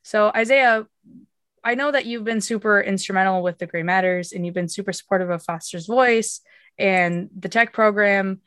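A woman's voice: mid-range voice, moderate pace, a bit bright, smooth, no room sound. A woman hosting a casual podcast, effusive and earnest, her voice a little off as she recovers from an illness. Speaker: podcast host.

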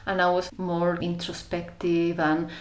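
A woman from Spain with a Spanish accent, telling personal stories reflectively and haltingly as an interview guest. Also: lowish voice, completely flat delivery. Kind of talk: reflective personal storytelling in conversation. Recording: roomy echo around the voice.

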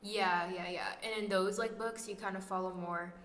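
A woman's voice: medium pitch, fairly even intonation, moderate pace, fairly bright tone. A woman in her late teens co-hosting a casual faith podcast, rambling and sprinkling "like" through everything. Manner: rambling, heavy on "like". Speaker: podcast co-host. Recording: echoey room with long reverb, faint hiss.

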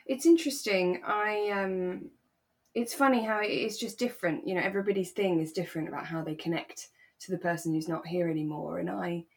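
A woman co-hosting a podcast in relaxed, reflective, conversational chat. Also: lowish voice, fairly quick. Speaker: podcast co-host. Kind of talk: relaxed casual chat about a radio drama.

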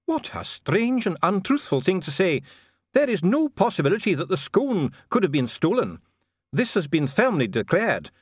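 A man's voice: high-pitched, sing-song, moderate pace, slightly rough, no room sound, clean studio sound, voice pushed up, heavily acted. A man voicing an officious, evasive dwarf official in formal, clipped, protesting speech for an audiobook. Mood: stiff, affronted.

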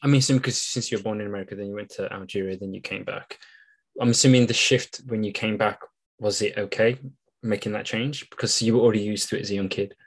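A man's voice: on the low side, steady tone, quick pace, slightly rough. A man hosting a podcast, reading a scripted guest introduction and then asking eager follow-up questions. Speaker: podcast host. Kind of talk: podcast interview.

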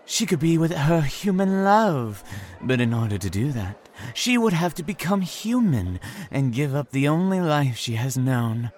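A man's voice: on the high side, big pitch swings, a little slow, darkish tone. A man acting in an audio drama as a mysterious, insistent newcomer, with formal, teasing storyteller phrasing. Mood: knowing, storytelling. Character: mysterious, courteous, insistent man new in town.

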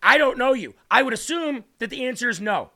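Raspy gravelly guy preaching on a livestream, bright high-ish voice, reads out chat comments. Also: expressive, brisk. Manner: talks straight to viewers, repeats phrases for emphasis. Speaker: host of a faith livestream show.

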